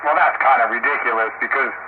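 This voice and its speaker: A man's voice: medium pitch, fast. Older American man, radio hobbyist, casual storyteller quoting others; tinny, very noisy radio link. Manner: animated storyteller, acting out reported dialogue. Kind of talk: casual on-air radio chat. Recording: noisy, narrow-band shortwave radio transmission. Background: loud radio static.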